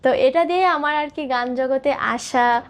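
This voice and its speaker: A woman speaks in a high-pitched voice. She answers interview questions as a guest singer, slowly and thoughtfully. Her phrasing is a little hesitant as she works out each point.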